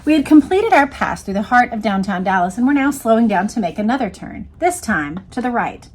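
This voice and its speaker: A woman's voice: on the high side, sing-song, a little fast, breathy edge. A woman reading a book aloud and breaking off to explain and point things out to the viewer. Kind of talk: read-aloud narration with explanatory commentary.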